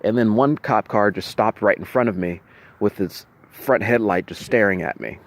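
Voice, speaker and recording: Low-pitched man, halting and insistent monologue. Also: creaky. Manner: halting, repetitive, with restarted phrases; insistent, emphatic. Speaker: vlogger recounting personal experiences. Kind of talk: unscripted video monologue, venting to camera.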